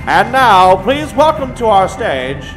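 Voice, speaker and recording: A male announcer introduces a show in grand old-time radio style, drawing out his words. His voice is high and swings widely in pitch, with organ music playing clearly behind it. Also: big pitch swings, slow pace, poor audio.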